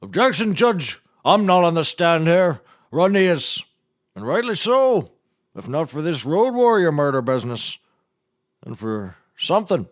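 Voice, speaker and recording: A man's voice: on the high side, big pitch swings, unhurried, no echo, clean studio sound. An actor playing a blustering, folksy nautical handyman turned defense lawyer, orating grandly in a comedy courtroom. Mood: indignant. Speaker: defense attorney. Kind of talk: scripted comedy courtroom oratory.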